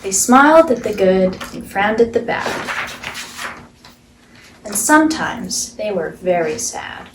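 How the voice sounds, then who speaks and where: A woman's voice: mid-range voice, sing-song, slow, long pauses, echoey room, distant mic, a little hiss or hum, poor audio. A woman storyteller hosting a read-aloud show, reading a picture book expressively for children.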